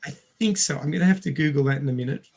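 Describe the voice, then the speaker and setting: A man talks through a film in a casual review style. His fairly high voice has a lot of gravelly creak and sounds strained. He goes haltingly, thinking aloud as he speaks. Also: darkish tone. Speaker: film podcast host. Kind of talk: casual movie review chat.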